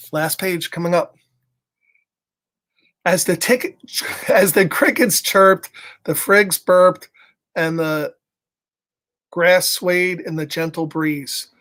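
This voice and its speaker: A man's voice: high-pitched, fairly bright tone. A middle-aged man hosts a storytime for children. He moves between reading aloud and casual chat, informal and prone to chuckling.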